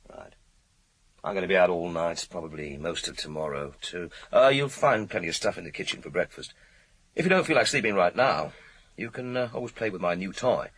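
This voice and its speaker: An actor playing a weary, sardonic police inspector in a radio crime drama, blunt and dry in manner. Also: male voice, low voice, sing-song, fairly quick, a bit bright, full character voice. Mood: awkward, wry.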